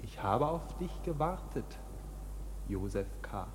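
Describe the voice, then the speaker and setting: Stern priest in a radio play, slow solemn sermon voice, big echoing hall. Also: male voice, mid-range voice, lively intonation, a little mellow, a little hiss or hum. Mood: solemn, cryptic. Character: stern, solemn priest of the court preaching guilt. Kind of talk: dramatic radio play acting. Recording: long church-like reverb, background ambience.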